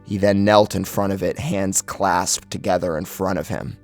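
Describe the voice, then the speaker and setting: Low-voiced man narrating horror. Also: level tone, normal speed. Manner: grave, intense. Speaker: audiobook narrator. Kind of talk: dramatic first-person horror narration. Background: music.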